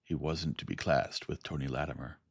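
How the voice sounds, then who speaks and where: A man reading a science fiction story aloud in a soft, calm and unhurried ASMR manner. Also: a bass voice, gravelly, slightly rough, dry recording, clean studio sound. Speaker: audiobook reader.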